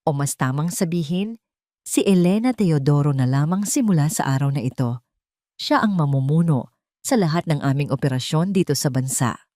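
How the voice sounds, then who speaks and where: A woman's voice: low voice, expressive, moderate pace, mellow, dry recording, clean studio sound. Woman voicing a stately old patriarch. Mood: proud, formal. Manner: stately, authoritative. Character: dignified elderly patriarch tycoon, commanding yet loving father.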